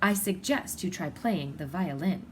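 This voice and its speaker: A woman's voice: on the low side, animated, measured pace, noisy background. Woman playing a curt, impatient old male architect. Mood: strained patience.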